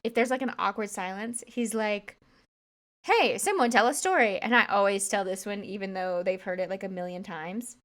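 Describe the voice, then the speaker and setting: A woman's voice: medium pitch, normal speed, fairly bright tone. A woman co-hosting a podcast, chatting casually and a bit rambling, slightly congested. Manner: chatty and rambling, many fillers. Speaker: podcast co-host.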